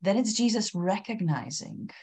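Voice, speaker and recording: A woman's voice: medium pitch, big pitch swings, normal speed, mellow, husky. A woman whose first language is Lithuanian, thoughtfully explaining scripture in a casual discussion. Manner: thoughtful, explanatory, light laughs.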